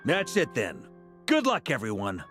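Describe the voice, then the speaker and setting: Animated, confident knightly commander. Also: male voice, medium pitch, a little slow, soft background music. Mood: confident, upbeat. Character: confident, genial young male knightly commander. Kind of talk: video game voice acting.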